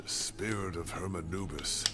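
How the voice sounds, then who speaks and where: An actor playing an earnest, weary middle-aged professor, explaining himself in a measured, halting way as in a dramatic game. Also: male voice, low voice, lightly acted. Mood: earnest, confessing. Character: earnest, weary scholar professor confessing his past. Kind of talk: dramatic scripted game voice acting. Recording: game dialogue over background music.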